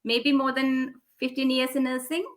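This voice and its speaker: A woman's voice: sits high, little pitch movement, moderate pace. A woman hosting a live online interview, speaking casually and a little hesitantly, with many fillers.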